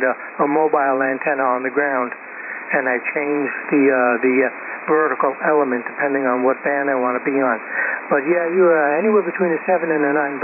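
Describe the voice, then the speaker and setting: Older male ham operator, slightly high voice, thin noisy radio signal, rambling casual chat. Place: received over shortwave radio. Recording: noisy, narrow-band shortwave ham radio audio.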